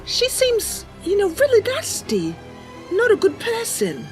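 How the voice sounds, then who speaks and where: A woman voicing an earnest, naive, excitable young female wood elf adventurer in a game, girlish and wide-eyed. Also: very high-pitched, unhurried, faint music behind, pitched above the performer's own voice, full character voice. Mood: timidly disapproving. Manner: girlish, wide-eyed, stumbles over big words.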